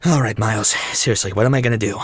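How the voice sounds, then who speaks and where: A man's voice: lowish voice, racing, close to an everyday voice. A man playing an exasperated, sarcastic, reluctant young hero in an audio drama. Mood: weary, sarcastic. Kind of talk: fan audio drama acting.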